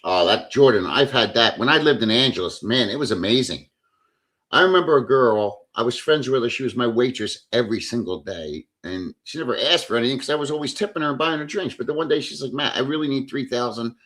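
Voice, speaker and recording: A man's voice: medium pitch, lively intonation, a little fast, a bit bright. A middle-aged man hosting a casual livestream, chatting bluntly and reading out viewer comments, swearing freely as he rambles. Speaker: livestream host.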